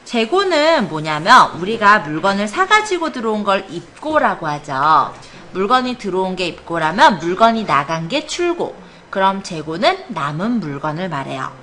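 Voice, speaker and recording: A woman's voice: mid-range voice, sing-song, normal speed, hardly any pauses, pushed and bright. A woman teaching a small computer class, guiding students step by step with patient questions. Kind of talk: hands-on classroom instruction. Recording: echoey classroom.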